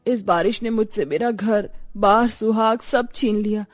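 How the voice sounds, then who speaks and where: A woman playing a poor widowed mother in a cartoon story, plaintive, gentle and emotional. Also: mid-range voice, measured pace, no room sound, studio quality, natural voice. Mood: pleading.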